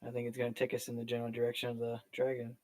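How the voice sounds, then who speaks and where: A man's voice: medium pitch, monotone, rapid-fire, warm tone, no room sound. A man talking casually as a player in a tabletop role-playing game.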